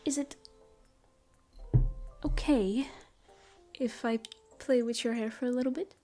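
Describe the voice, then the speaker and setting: A woman voicing a gentle elf ranger. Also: fairly high pitch, fairly even intonation, slow, faint music behind. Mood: soothing. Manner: soft, soothing, often whispered. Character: gentle female elf ranger, caring and shy. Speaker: voice actress. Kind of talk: audio roleplay, comfort ASMR.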